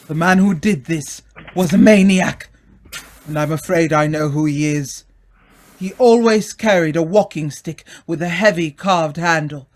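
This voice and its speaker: An earnest gentleman sleuth played by a male actor, speaking deliberately and slowly. The delivery is theatrical and exclamatory, in the style of old radio drama. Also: a little high, lightly acted. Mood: urgent, resolute. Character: earnest, take-charge British gentleman amateur sleuth.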